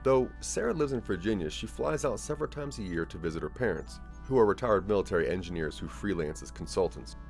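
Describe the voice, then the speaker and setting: Low, expressive male story narrator. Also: fast, gravelly, few pauses, soft background music. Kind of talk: scripted second-person audio drama narration.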